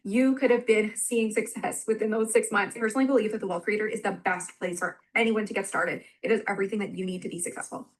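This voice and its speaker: A woman's voice: mid-range voice, steady tone, quick pace, hardly any pauses, poor audio. A woman giving a confident, persuasive testimonial about online marketing success.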